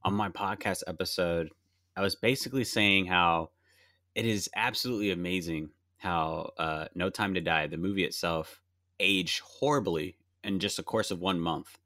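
A man's voice: low-pitched, normal speed, bright, forceful tone, dry recording, clean studio sound. A man in his late twenties, a podcast host, explaining things at length in a casual chat. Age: 20s. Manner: explains at length, reacts to a movie while talking.

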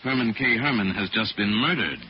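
Actor as composed radio hero, noisy old recording. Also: male voice, on the low side, lively intonation, a little fast, highs cut off, close to an everyday voice. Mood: measured, probing. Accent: American. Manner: composed, formal, precise. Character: calm, shrewd mystic crime-fighting hero, a man. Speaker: lead actor playing the hero detective. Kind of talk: 1940s radio drama acting.

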